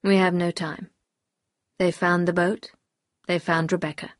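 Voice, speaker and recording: A woman voicing a bitter, anguished upper-class husband in an audiobook, speaking gravely and confessionally. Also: lowish voice, flat delivery, unhurried, dry recording, clean studio sound, voice pushed down, lightly acted. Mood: despairing, urgent. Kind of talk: audiobook character dialogue.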